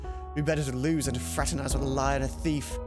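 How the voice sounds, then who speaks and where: A woman's voice: rumbling low, steady tone, fast talker, voice pushed down. A woman voicing a stubborn, accusing young male student in a game, stern and blunt. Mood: cold, accusing. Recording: music behind the voice.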